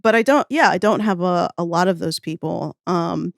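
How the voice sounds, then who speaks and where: A woman's voice: fairly low pitch, moderate pace, creaky, no echo, clean studio sound. An American woman from New Orleans chatting casually as a podcast guest, with an informal, self-deprecating, hedging way of talking. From New Orleans, United States. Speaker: podcast guest, audio fiction writer. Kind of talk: casual interview chat, reminiscing.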